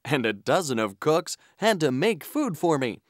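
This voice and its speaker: An actor playing an earnest, polite traveler who tells his adventure in an expressive children's story style. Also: on the high side, moderate pace, dry recording, studio quality. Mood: earnest storytelling. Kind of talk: scripted children's story narration in character. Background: music.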